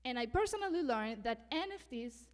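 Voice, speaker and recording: A woman's voice: fairly high pitch, animated, moderate pace, big-room sound. A woman in her thirties from Venezuela giving a conference talk, earnest, laughing often and sometimes stumbling over a word. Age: 30s. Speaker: conference speaker.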